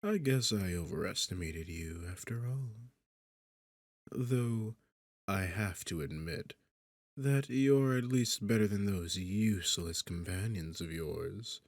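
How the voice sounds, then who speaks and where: A man's voice: deep voice, slow pace, dry recording. A man playing an arrogant, sarcastic demon king in a seductive fantasy ASMR roleplay, with a smug, teasing, condescending manner. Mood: haughty, unimpressed. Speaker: audio roleplay performer.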